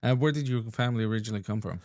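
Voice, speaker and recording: A man's voice: on the low side, rapid-fire, a little mellow, no room sound, clean studio sound. A man from Argentina, hosting a casual interview podcast in a friendly, enthusiastic way. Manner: friendly, enthusiastic, laughs easily. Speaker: podcast host.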